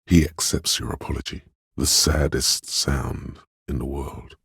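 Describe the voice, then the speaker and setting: An actor playing a grave, compassionate inner voice of empathy in a game, narrating in a measured, solemn and tender way. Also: male voice, very deep voice, steady tone, gravelly, fairly bright tone, breathy edge, no room sound, clean studio sound, exaggerated voice. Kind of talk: dramatic game narration, second person.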